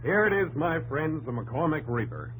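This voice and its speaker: An actor playing a confident, businesslike American inventor pitching his machine with assertive ease in an old radio drama. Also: big pitch swings, moderate pace, loud music behind the voice, rough recording. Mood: confident, brisk. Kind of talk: 1950s radio drama acting.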